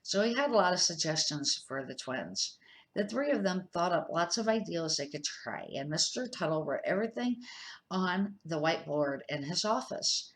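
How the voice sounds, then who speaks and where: An older woman with a somewhat low-pitched voice reads a children's storybook aloud. She sounds like a grandmother and an amateur reader, sometimes tripping on words and correcting herself. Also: animated, normal speed.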